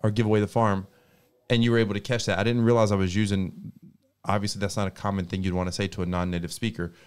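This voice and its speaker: A man's voice: on the low side, flat delivery, clear, resonant tone. An American man, a native English speaker, talking as a guest in casual chat, earnest and full of restarts. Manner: rapid, earnest, restarts often.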